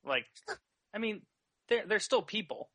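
A man's voice: high-pitched, lively intonation, unhurried, pushed and bright. An earnest man making his case in a casual podcast debate, hedging often with "I mean, like".

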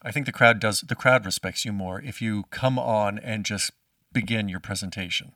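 Male interviewer with a lower voice and a rambling manner, recorded dry and a bit off the mic. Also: normal speed, bright, forceful tone, no room sound, far from the mic. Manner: rambling questions with many restarts. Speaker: podcast host interviewer. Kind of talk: casual interview questions.

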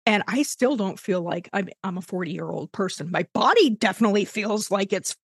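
A woman's voice: mid-range voice, expressive, normal speed, slightly rough, no echo. Reflective woman in her forties, dry sound. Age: 40s. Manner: rambling, reflective, full of "you know". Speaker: podcast co-host and tarot deck designer. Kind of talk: casual chat.

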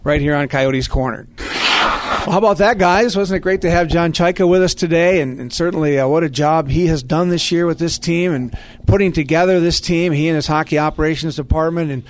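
A man's voice: fairly high pitch, fairly quick. A man hosting a sports podcast in a conversational radio style, speaking earnestly and with complimentary phrasing.

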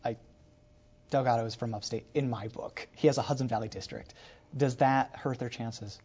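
A man anchoring a political news program, asking questions in an easy, measured, conversational way. His voice sits at an ordinary pitch. The audio sounds slightly muffled. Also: steady tone, a little mellow, husky. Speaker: news show host. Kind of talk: broadcast political interview.

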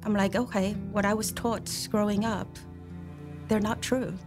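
A woman recounts her life, reflective and plainspoken, pausing now and then. Her voice sits in a middle register. Music plays clearly beneath her. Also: medium pitch, fairly even intonation. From China. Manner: reflective, plainspoken, small hesitations. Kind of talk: testimony interview. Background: background music.

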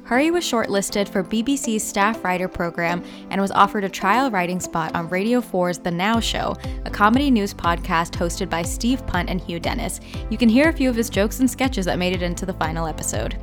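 A woman's voice: mid-range voice, fairly quick. Female podcast host, British accent, mid-range voice, conversational interviewer delivery over music. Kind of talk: scripted introduction and casual interview chat.

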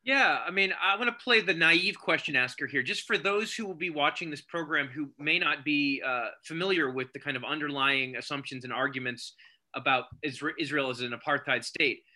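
A man hosts a panel discussion in a very bright, fairly high voice. He asks long questions, hesitating and restarting as he goes. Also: fairly quick. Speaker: host.

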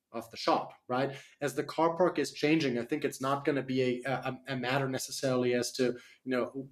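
A man from Germany with a slight German accent, a startup CEO answering interview questions on radio, hesitant with many fillers and restarts. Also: medium pitch.

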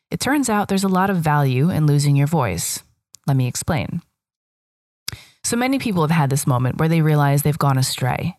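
Podcast host, woman, 30s, low pitch, reflective storyteller, dry booth sound. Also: darkish tone, no room sound. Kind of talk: scripted solo podcast monologue.

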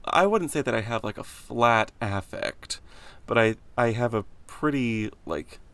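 Deep gravelly deadpan young man. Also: unhurried. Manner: deadpan, sarcastic, monotone. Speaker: podcast co-host. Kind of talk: casual chat.

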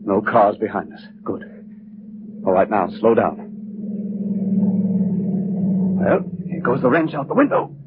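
Cold, self-assured husband with murder behind him; clipped, low, 1940s radio thriller. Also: male voice, very animated, measured pace, long pauses. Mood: coolly confident. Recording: noisy, muffled 1940s radio recording.

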